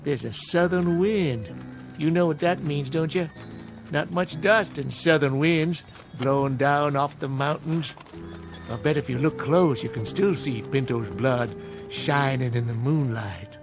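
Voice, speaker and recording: A needling old gossip from a vintage TV Western. His voice runs high and very slow, folksy and eerie, with a Western American accent. Faint music lies underneath. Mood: sly, ominous. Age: older. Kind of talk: old Western drama acting. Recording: vintage TV drama audio with music.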